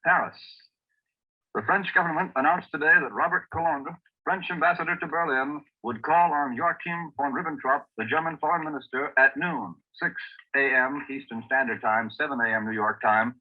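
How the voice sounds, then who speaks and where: A man's voice: a little high, brisk. A man reading a formal, clipped news bulletin on a vintage 1930s radio recording. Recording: tinny, phone-like 1939 radio archive recording.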